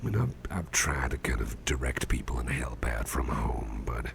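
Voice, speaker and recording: A man playing a sickly, grateful and affectionate boss in a whispered ASMR roleplay, speaking slowly and hesitantly in a gravelly, breathy, folksy way. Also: rumbling low, big pitch swings, exaggerated voice. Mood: soft, caring. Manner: slow, hesitant, folksy and tender. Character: sickly male boss, grateful, affectionate, self-deprecating workaholic. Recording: noticeable background noise, soft ambience.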